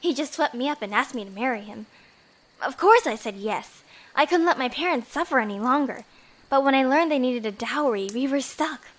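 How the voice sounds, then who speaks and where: A woman's voice: high voice, lively intonation, normal speed, a bit bright, poor audio. A young woman acting in an audio drama as an earnest, pleading bride-to-be who is secretly a bandit. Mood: earnest, sorrowful.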